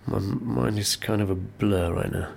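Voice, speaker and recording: A man's voice: fairly low pitch, gravelly, a little mellow, a little hiss or hum, full character voice. An actor playing a bewildered, nervous man in an audio drama, groggy and stammering as he talks. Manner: hesitant, stammering. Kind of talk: naturalistic audio drama acting.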